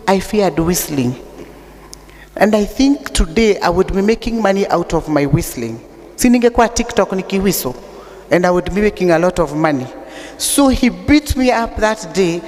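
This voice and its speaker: A Kenyan woman with an unusually deep voice, preaching a sermon that mixes English and Swahili, telling stories with humor. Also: darkish tone. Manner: chatty storytelling with humor. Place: church. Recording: long church reverb.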